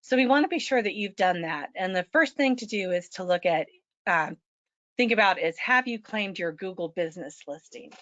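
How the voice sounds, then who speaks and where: A woman presenting a small-business webinar, a little hoarse from allergies, often clearing her throat and saying um. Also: medium pitch, animated, moderate pace, a bit bright, no echo. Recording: dull lo-fi web call audio.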